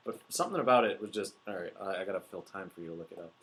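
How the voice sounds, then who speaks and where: A young man co-hosting a casual book podcast, playful and quick to laugh at his own jokes. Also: low voice, a bit bright. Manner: playful, laughs often, quick joking asides. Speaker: podcast co-host. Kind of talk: casual chat.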